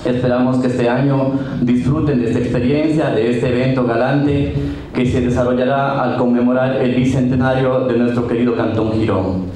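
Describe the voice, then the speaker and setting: A man's voice: medium pitch, fairly even intonation, moderate pace, dark tone. A man with an Ecuadorian accent giving a formal public address. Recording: very echoey hall, faint noise.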